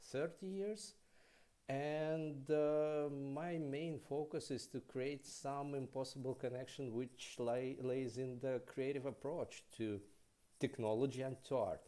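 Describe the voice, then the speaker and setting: A man in his thirties hosts an online interview over a headset on a video call. His voice is mellow and dark, and he speaks very slowly and deliberately, with slightly non-native phrasing. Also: medium pitch. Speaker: host.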